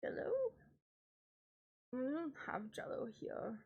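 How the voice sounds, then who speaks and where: Congested young woman streamer, higher voice. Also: slow pace, husky, lo-fi sound. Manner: chatty, self-deprecating, giggly asides. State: congested, stuffed-up voice. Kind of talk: casual chat.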